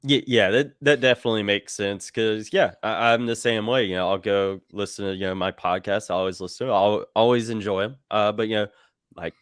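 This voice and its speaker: Smooth, low-voiced male podcast host. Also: steady tone, a little fast, dry recording, studio quality. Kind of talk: casual chat.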